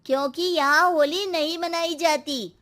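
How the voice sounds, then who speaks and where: A woman voicing an easygoing, evasive villager in conversational animated-story dialogue, casual and dismissive. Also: extremely high pitch, a little slow, heavy background noise. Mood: hushed explaining.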